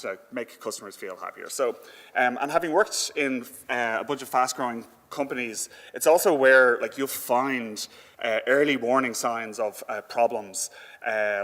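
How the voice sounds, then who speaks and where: A man's voice: mid-range voice, a bit bright. Hesitant male conference speaker in echoey hall. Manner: hesitant, conversational, frequent filler words. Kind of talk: informal conference presentation. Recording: echoey hall with long reverb.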